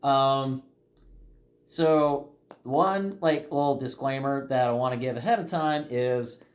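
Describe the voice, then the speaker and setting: A man's voice: medium pitch, takes it slowly, roomy sound. Man, podcast co-host, laid-back, casual, lots of fillers. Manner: laid-back, many "like" fillers, asks questions. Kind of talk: casual chat.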